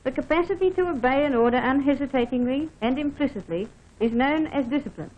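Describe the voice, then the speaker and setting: High-pitched woman narrating a vintage training film. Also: expressive, a little fast, darkish tone. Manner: formal, instructive, addressing the listener directly. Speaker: training film narrator, air force officer. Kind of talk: scripted instructional film narration. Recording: dull band-limited vintage film soundtrack.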